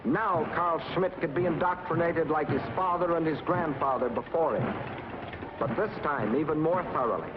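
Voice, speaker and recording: A man's voice: fairly high pitch, moderate pace, muffled recording, noisy background. An American man delivering scripted 1940s propaganda film narration in a dramatic, emphatic manner with clipped phrases, on an old film soundtrack. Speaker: documentary narrator.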